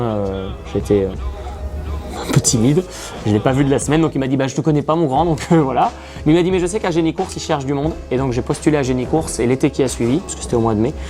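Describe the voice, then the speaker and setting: A man's voice: medium pitch, a little mellow. A man in his thirties from France, a racing commentator, answering interview questions in an earnest, hesitant way. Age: 30s. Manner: earnest, self-described shy, frequent hesitations.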